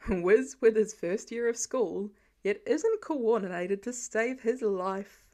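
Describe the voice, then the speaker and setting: A woman's voice: medium pitch, slow, darkish tone, close to an everyday voice. A woman voicing an easygoing, tender husband and father with folksy banter, as audiobook dialogue. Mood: warm, easygoing.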